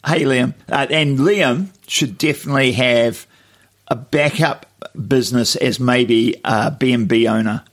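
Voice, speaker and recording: Croaky New Zealand man chatting casually. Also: medium pitch, measured pace. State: slightly hoarse from yelling. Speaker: podcast co-host. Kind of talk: casual chat.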